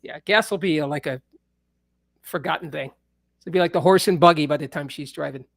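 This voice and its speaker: A man with a high speaking voice in a casual, playful, teasing chat as he hosts another musician. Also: brisk, vocal fry, dry recording, studio quality.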